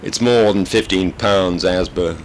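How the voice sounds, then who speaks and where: A man's voice: low voice, measured pace, a little hiss or hum. A man playing a dry sarcastic prison governor. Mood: sardonic. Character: dry sardonic older male prison governor.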